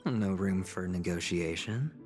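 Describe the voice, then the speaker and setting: A man's voice: sits low, a little slow, darkish tone. An actor playing a suave male gambler in a video game, courteous and controlled while staying guarded under pressure. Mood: accommodating. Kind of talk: dramatic video game voice acting. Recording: long reverb, game music underneath.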